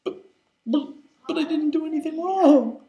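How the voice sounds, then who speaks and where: A man's voice: medium pitch, very animated, takes it slowly, roomy sound. A man voicing an indignant young man turned bear, stammering and emphatic in exasperation. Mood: shocked protest.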